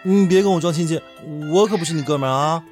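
A man's voice: fairly high pitch, fast talker, darkish tone, soft background music, natural voice. A man playing a sulky, naive young man who needles his friend with pointed questions in a live audio drama. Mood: huffy.

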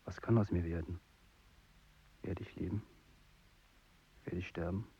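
Wounded, bewildered young soldier for radio drama: lowish voice, dragging pace, halting questions. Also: male voice, steady tone, warm tone. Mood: dreamy, lost. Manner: halting single words and short questions. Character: frightened, wounded young male soldier, bewildered and longing for family. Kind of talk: sparse, fragmented radio drama monologue. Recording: dull, noisy 1980s radio production.

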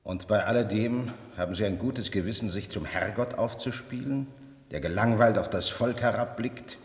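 A man's voice: lowish voice, moderate pace, lightly acted. An actor playing an indignant man visiting a future regime, sarcastic and articulate, in an echoey 1970s radio play. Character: indignant male time-travelling visitor challenging a regime. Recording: echoey hall-like studio radio drama.

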